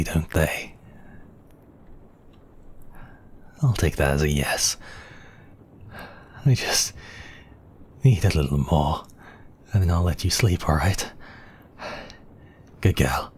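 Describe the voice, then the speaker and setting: Man acting as a very deep, seductive vampire count. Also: darkish tone, slightly rough, exaggerated voice. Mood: hungry, tender. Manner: intimate, murmuring, often slipping into whispers. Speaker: audio roleplay voice actor. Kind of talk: intimate ASMR roleplay acting.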